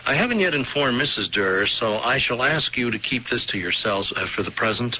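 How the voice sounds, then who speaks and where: An actor playing a formal, businesslike police investigator in a measured, official manner, in a 1970s radio mystery. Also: male voice, mid-range voice, expressive, lightly acted. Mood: grave, official. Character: formal, businesslike male police investigator breaking grim news. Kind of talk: 1970s radio drama acting. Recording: dull lo-fi old radio recording, faint noise.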